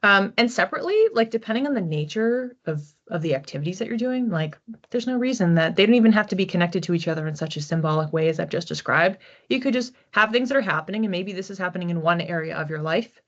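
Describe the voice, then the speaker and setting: Low-voiced woman giving a chatty card reading. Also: expressive, fast talker. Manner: chatty, thinking aloud with restarts and soft laughs. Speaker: psychic card reader. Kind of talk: relaxed solo oracle reading. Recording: slightly dull lo-fi audio.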